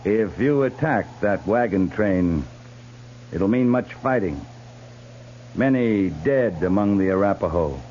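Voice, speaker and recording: An actor playing a steady, earnest cavalry captain with a measured, firm manner in a 1950s radio western. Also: male voice, lowish voice. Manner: measured, firm, plain-spoken authority. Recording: muffled, noisy 1950s radio recording.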